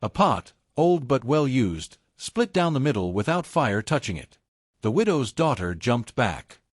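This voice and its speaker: A man's voice: mid-range voice, sing-song, dry recording. Solemn male storyteller, dry close sound. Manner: solemn, portentous, measured short phrases. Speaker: storyteller, narrator. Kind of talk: scripted folktale narration.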